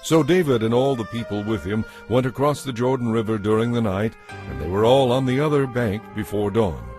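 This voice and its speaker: A man narrating a dramatized audiobook in a measured, solemn storytelling manner. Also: medium pitch, expressive, a little mellow. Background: faint music.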